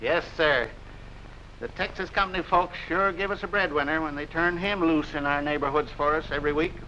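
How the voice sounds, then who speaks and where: A man's voice: on the high side, fairly quick, pushed and bright. An actor playing an eager, folksy small-town American filling station dealer in the style of a 1940s sponsored film, with colloquial salesman talk. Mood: enthusiastic. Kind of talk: 1940s sponsored film acting, scripted pitch. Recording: hissy, dull 1940s film soundtrack.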